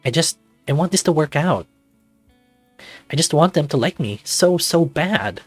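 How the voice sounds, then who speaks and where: A man's voice: on the high side, animated, measured pace, dry recording, faint music behind. A man voicing an anxious, self-doubting man in his thirties, narrating a visual novel introspectively in the first person. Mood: anxious, wistful. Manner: introspective, confessional, dramatic reading.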